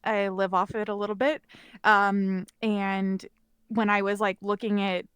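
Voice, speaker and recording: Clear-voiced woman chatting casually. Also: medium pitch, flat delivery, unhurried, a bit bright, no echo. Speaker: podcast guest. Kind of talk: casual chat.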